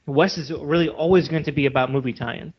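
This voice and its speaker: Lo-fi, higher-voiced man, assertive. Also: fast, breathy edge. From Western country. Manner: assertive, emphatic, steers the talk. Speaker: podcast co-host. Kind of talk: casual opinionated discussion. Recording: dull lo-fi audio.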